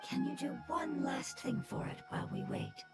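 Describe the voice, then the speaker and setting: A woman voicing a gentle plant creature elder who speaks calmly and breathily. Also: sits high, moderate pace, lightly acted. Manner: calm, breathy, soft-spoken. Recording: game audio over loud music.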